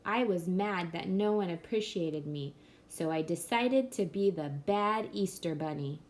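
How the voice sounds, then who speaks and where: A woman's voice: lowish voice, a little slow, a little mellow, close to an everyday voice. A woman voicing a grumpy male Easter Bunny in a read-aloud storybook, in close to her own voice. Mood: sulky.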